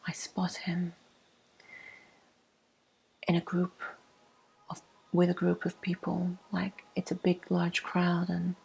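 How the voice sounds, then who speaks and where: A woman telling a personal story as an ASMR host in a breathy, hushed, mostly whispered voice with slow pauses. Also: lowish voice, low-quality recording.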